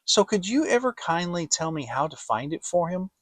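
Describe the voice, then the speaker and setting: A man voicing a gentle, humble, slow-witted toy bear in a storybook, plaintive and hesitant. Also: high-pitched, sing-song, dry recording, pitched above the performer's own voice. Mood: humble, sad.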